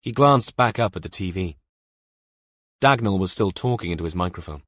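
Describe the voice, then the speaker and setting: A man narrating a mystery thriller audiobook in a measured, scripted storytelling style. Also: deep voice, animated, a bit bright, dry recording, clean studio sound.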